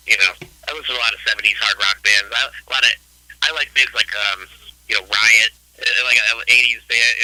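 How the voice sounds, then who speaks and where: A man's voice: fairly low pitch, bright, forceful tone, rough recording. A man in his late forties, a guest musician chatting casually in an interview, rambling and laid-back with many fillers. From Ohio, US. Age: 40s.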